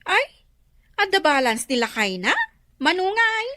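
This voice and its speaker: Pushy gossipy lady neighbour, bright high voice, ilocano accent, radio drama. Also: very animated, a little slow, slight background noise, exaggerated voice. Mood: curious. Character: nosy, scheming woman neighbour, pushy and gossipy.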